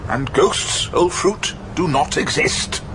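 Slow, swooping, chummy gentleman ghost. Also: fairly high pitch, animated, over music, rough recording. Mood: genial dismissiveness. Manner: old-fashioned, chummy. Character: genial, oblivious balding gentleman ghost in bowler hat. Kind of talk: radio drama acting.

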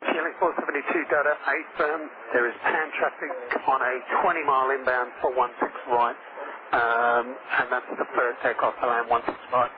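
Guy doing atc instructions, procedural, crackly narrow radio with clear noise. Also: mid-range voice, level tone, moderate pace. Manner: procedural, explanatory. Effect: aviation radio. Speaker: air traffic controller. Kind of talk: air traffic radio instructions. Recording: tinny band-limited radio, clear static noise.

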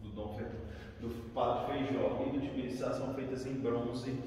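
A man's voice: mid-range voice, steady tone, normal speed, dark tone. Brazilian guy giving a tour, explaining stuff, far from the mic in a big reverby stone space. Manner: explanatory, often checks with "né". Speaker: tour guide. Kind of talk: informal guided tour explanation. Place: large reverberant crypt indoors. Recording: very echoey stone crypt, distant, faint noise.

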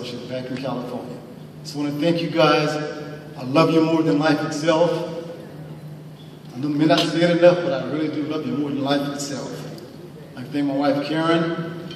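A man's voice: fairly high pitch, a little hiss or hum. A middle-aged man giving an earnest acceptance speech at a podium in a large banquet hall, heard from the audience. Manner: earnest, slow emphatic phrasing with thanks and faith. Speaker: hall of fame inductee. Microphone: podium mic, recorded from far in the audience. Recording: echoey hall audio from far off.

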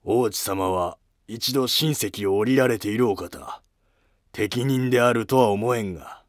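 Male court noble, acted by a man: lowish pitch, archaic formal manner, guarded, historical drama, studio-dry sound. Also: a little slow, no room sound, lightly acted. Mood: reserved, firm.

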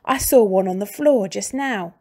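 A woman voicing a nasty, scheming old husband in a storybook, speaking in a slow, sly and taunting way. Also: medium pitch, mellow, natural voice.

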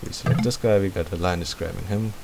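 Deep creaky guy doing a casual game tutorial, thinking out loud, bit of hiss. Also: quick pace. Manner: thinking aloud while working. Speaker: tutorial host. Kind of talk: casual screen-recorded game tutorial commentary. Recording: faint background hiss.

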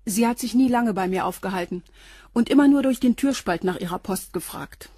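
An actress playing a reserved concierge around forty in a radio drama, giving short, matter-of-fact answers. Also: female voice, medium pitch, fairly quick, mellow, breathy edge, dry recording, clean studio sound, close to an everyday voice. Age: 40s.

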